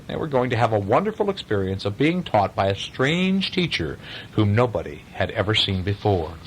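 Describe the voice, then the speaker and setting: Animated man reading a bedtime story. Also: on the low side, slight background noise, low-quality recording. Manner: chatty, questioning asides to a child.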